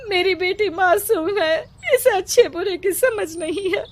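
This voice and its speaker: Frightened cartoon village wife, acted by a woman; high, wailing, imploring. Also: measured pace. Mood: tearful, pleading. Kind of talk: Hindi cartoon character acting.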